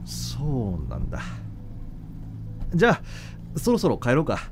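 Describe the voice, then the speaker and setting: An aloof young man in love, radio drama, medium pitch, very varied intonation, blunt yet bashful. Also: wildly swooping pitch, a little slow, vocal fry, slight background noise, heavily acted. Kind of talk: Japanese radio drama acting and narration.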